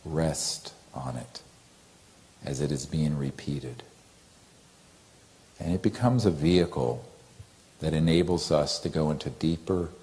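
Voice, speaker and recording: A man gently and calmly leading a guided meditation as a teacher, in a soothing way. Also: a bass voice.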